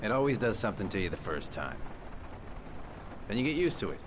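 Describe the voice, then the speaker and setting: An actor playing a hard-edged, cynical police lieutenant in a video game. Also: male voice, fairly low pitch, sing-song, brisk, noisy background. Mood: cold, cynical.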